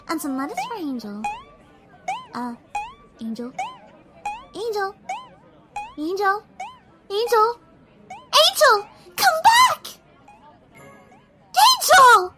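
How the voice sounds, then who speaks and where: A woman voicing a shy, gentle young pony who loves animals, hesitant and apologetic, in an audio drama. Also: extremely high pitch, sing-song, takes it slowly, long pauses, over loud music, heavily acted. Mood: worried. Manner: soft-hearted, hesitant, apologetic.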